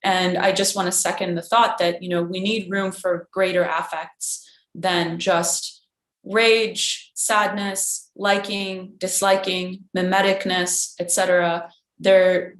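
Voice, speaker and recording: Woman poet, slightly low voice, academic and searching, echoey video-call sound. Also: level tone, takes it slowly. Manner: searching, restarts phrases, academic wording. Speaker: poet answering a question. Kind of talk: thoughtful panel answer.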